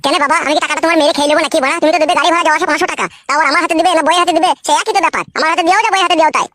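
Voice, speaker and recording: An actor voicing a crude, pushy, foul-mouthed young man in a comic cartoon, with a pitched-up voice. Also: racing, fairly bright tone, dry recording. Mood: irritated. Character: crude, pushy, money-minded young male lover.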